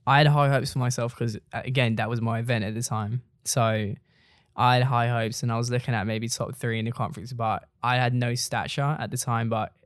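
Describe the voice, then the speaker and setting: Young man, laid-back storyteller, mid-pitched, dry close recording. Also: a little fast, smooth, dry recording. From Iceland. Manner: relaxed, laughs while telling stories. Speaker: co-host, runner. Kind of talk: casual chat.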